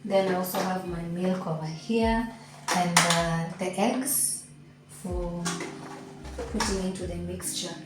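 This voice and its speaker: A woman and mother vlogging at home in the morning, talking casually through her kitchen with a croaky, deep, just-woken voice. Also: takes it slowly. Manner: relaxed, chatty, explains as she goes. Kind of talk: casual vlog narration. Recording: roomy echo with faint background music.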